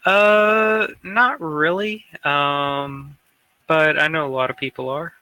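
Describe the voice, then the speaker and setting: A man co-hosts a casual gaming chat with a very bright, clear voice. He speaks hesitantly and haltingly, and the sound is dry and close. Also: a little high, fairly even intonation, no echo. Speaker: podcast co-host.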